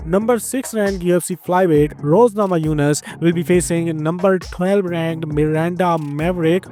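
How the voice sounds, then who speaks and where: A man with an Indian accent hosting a fight news roundup in a brisk, opinionated voice. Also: high voice, warm tone. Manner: brisk, opinionated, playful asides. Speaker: MMA news host. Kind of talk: scripted news narration.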